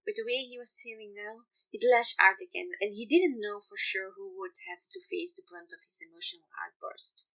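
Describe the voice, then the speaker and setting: Bright-voiced woman reading a story. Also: medium pitch, muffled recording. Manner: halting, often repeats words. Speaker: fan fiction reader. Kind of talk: story narration.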